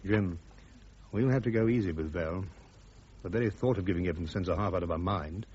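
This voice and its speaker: A man playing a defiant, sharp British army major with a clipped, ironic English manner in a radio drama. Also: low-pitched, fairly quick, slight background noise, natural voice. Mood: dry, confiding. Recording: dull, muffled radio drama recording.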